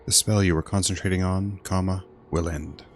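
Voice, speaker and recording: A man's voice: sits low, steady tone, moderate pace, a little hiss or hum. A man doing casual gameplay commentary as a streamer, dry and blunt, thinking aloud and reading menus. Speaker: game streamer.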